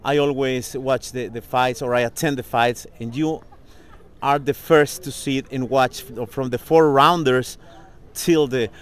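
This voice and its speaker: A man whose first language is Spanish interviews in English, effusive and openly emotional. He laughs often between his questions and talks in a middle-pitched voice. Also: fairly even intonation, a little slow, clean tone.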